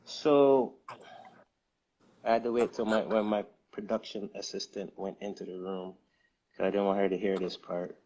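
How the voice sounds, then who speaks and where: Soft-spoken man with a low voice. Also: fairly even intonation, lo-fi sound, a little hiss or hum. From United States. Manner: unhurried, hesitant with many fillers and restarts. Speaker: guest, music distribution co-founder. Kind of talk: casual interview answers.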